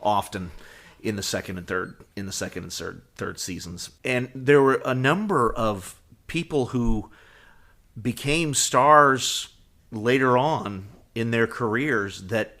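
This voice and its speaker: A man's voice: mid-range voice, slow pace, fairly bright tone. A man co-hosting a podcast, chatting casually and a little hesitantly as he explains. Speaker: podcast co-host. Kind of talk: casual chat.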